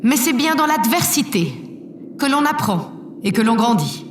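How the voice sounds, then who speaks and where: A self-assured female president with a fairly high voice. She orates solemnly and formally, as in an audio drama. The sound is echoey and set away from the mic. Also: big pitch swings, moderate pace. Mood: solemn, stately. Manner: formal, oratorical. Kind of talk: scripted audio drama speech. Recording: echoey hall PA, distant, faint noise.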